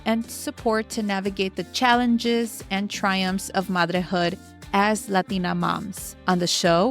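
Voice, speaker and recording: A woman in her thirties hosting a podcast in an upbeat way, mixing Spanish words into her English. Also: mid-range voice. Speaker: podcast host. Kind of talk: podcast hosting with scripted intro.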